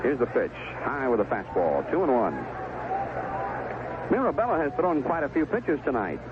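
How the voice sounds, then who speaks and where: An American man calling baseball play-by-play on the radio, in clipped phrases with unhurried pauses. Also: medium pitch, sing-song. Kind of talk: live baseball radio commentary. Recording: tinny, noisy 1970s AM radio broadcast.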